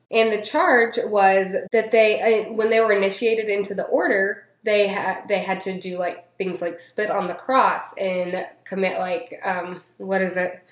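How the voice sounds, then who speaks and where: A woman hosting a casual podcast chat, telling a history story in a chatty way, laughing often and tripping over her words. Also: medium pitch. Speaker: podcast host. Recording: roomy echo.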